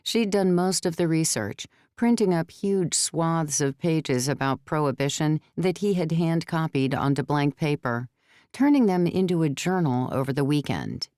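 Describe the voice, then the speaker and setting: A woman with a very low, very smooth voice narrates a novel. The reading is scripted audiobook storytelling, captured close and dry on a studio microphone. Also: expressive, moderate pace, darkish tone, no room sound. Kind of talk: scripted third-person fiction narration.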